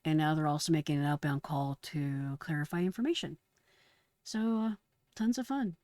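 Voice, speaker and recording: A woman's voice: sits low, moderate pace, dark tone, no echo, clean studio sound. A middle-aged woman who hosts as a retired dispatcher, giving casual explanatory commentary on emergency radio traffic.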